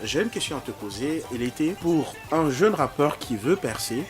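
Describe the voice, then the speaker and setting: A man hosting an interview, asking measured, formal questions. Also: a little high, expressive. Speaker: interviewer host. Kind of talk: radio-style interview questions. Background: music.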